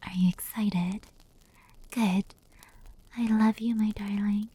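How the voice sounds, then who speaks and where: A woman voicing an affectionate female fox spirit in a whispered anime ASMR roleplay, telling bedtime stories softly and intimately. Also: medium pitch, steady tone. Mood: tender, playful. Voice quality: soft, breathy whisper. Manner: slow, intimate, hushed storytelling.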